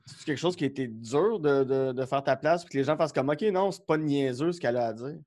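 A man hosting a casual interview, relaxed and mostly giving brief replies. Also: mid-range voice, fast. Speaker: interview host.

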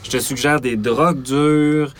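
A man's voice: mid-range voice, fairly even intonation, unhurried. A man with a Quebec French accent co-hosting a casual podcast, giving emphatic, slangy advice. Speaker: podcast co-host.

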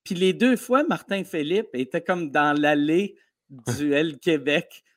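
A man with a Québécois French accent, a comedian guest telling stories in a casual podcast interview, animated and quick, with many restarts. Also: a little high. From Quebec. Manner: animated storytelling, fast with restarts.

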